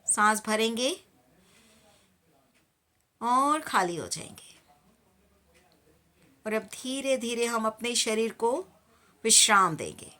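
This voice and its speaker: Female meditation guide: higher-pitched, clear-edged bright tone, calm and soothing instructional delivery. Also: takes it slowly, pauses often. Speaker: meditation teacher.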